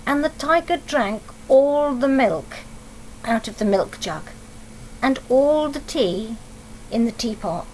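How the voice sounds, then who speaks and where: An English-accented woman reads a picture book to young children in a high voice. She is expressive, like a teacher, pausing to explain and ask little questions. Faint noise runs behind her. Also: rough recording. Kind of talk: storybook read-aloud with asides to young children.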